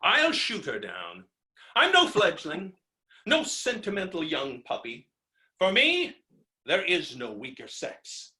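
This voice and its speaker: An actor plays a belligerent, boorish gentleman in a theatrical farce. His voice is sharp and forceful, with very animated pitch. He argues pompously and combatively. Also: slow, heavily acted. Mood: blustering. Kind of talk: theatrical staged reading of a classic farce. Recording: home recording over video call.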